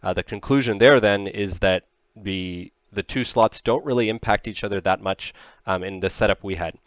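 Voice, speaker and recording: A man in his thirties giving an explanatory technical slide presentation in a conversational, measured manner. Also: lowish voice, no room sound. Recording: slightly dull, band-limited sound.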